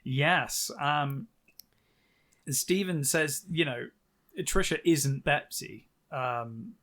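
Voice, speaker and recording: A man's voice: a little high, extremely slow, fairly bright tone. A British man co-hosting a podcast, recapping a book in a casual, wry and sarcastic way. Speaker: podcast co-host.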